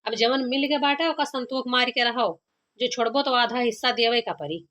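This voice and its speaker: Woman doing a village mom, awadhi cartoon, highish bright voice, coaxing and practical. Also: normal speed, natural voice. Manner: coaxing, questioning. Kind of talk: cartoon comedy acting.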